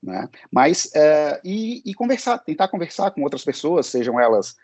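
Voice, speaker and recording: A man's voice: a little high, sing-song, moderate pace, darkish tone, no room sound. A man teaching English, answering interview questions in a hesitant way, with many fillers and restarts.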